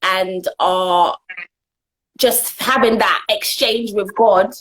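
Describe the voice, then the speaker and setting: A woman's voice: mid-range voice, steady tone, takes it slowly, fairly bright tone, rough recording. A young woman from Jamaica, a guest in a casual interview, answering earnestly with frequent laughs and restarts. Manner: earnest and giggly, trails off and restarts.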